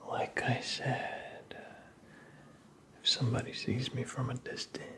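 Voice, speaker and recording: A man playing a flirtatious, flustered prince in an ASMR roleplay, speaking in a soft, breathy whisper with halting, teasing phrasing. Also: medium pitch. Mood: teasing, coaxing. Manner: halting, teasing, awkwardly self-correcting.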